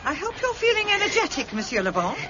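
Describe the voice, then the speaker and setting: A woman's voice: very high-pitched, huge pitch swings, quick pace, very noisy, heavily acted. An actress playing a miserly, suspicious elderly mother in an audio drama, querulous, bossy and curt. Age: older. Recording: dull, muffled audio.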